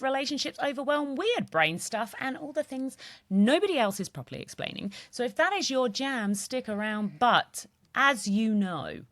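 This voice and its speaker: Croaky-voiced chatty Australian woman host. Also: fairly high pitch, no room sound. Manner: bubbly, chatty, breaks into song. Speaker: podcast host. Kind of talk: casual chat.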